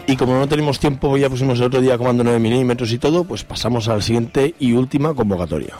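A man's voice: medium pitch, fast talker, dark tone. Spanish man chatting over music on radio. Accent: Spanish from Spain. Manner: colloquial, chatty. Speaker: radio show co-host. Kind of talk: informal radio concert listings. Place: radio studio. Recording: music playing behind.

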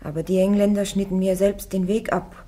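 A woman's voice: medium pitch, steady tone, brisk, dark tone, natural voice. A woman acting a defiant, devout young warrior on trial in a German radio play, plain-spoken and stubborn. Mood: plain, firm.

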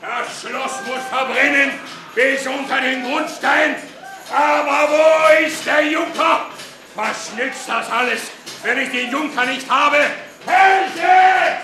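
An actor playing a wronged horse dealer turned vengeful rebel leader in a radio play, declaiming and commanding. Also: male voice, very high-pitched, takes it slowly, reverberant, crowd noise, noisy background. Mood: raging, demanding. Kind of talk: German radio play acting.

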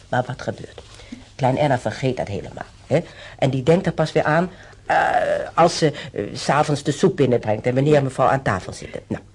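An actress playing an elderly woman patient in a Dutch radio play, with a creaky voice and a homely, rambling way of talking. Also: a bass voice, darkish tone, heavily acted.

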